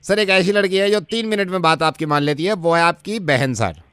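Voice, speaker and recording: A man's voice: high voice, fairly quick, a bit bright, smooth, no room sound. A man playing a polite, insistent service agent on a radio prank call, deferential and persistent. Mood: cheerfully insistent. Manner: deferential, repeats "sir", persistent. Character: polite, insistent male service caller, earnest and officious.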